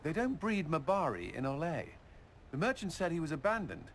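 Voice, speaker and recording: Stern, honorable soldier, noisy background. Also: male voice, a little high, very animated, fairly quick. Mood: dry, fond. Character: stern, honorable soldier man with dry warmth. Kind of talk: video game dialogue acting.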